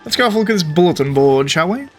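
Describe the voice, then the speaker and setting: Higher-pitched man, casual gaming commentary. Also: expressive, very fast, soft background music. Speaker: gaming video host. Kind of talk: casual game playthrough commentary.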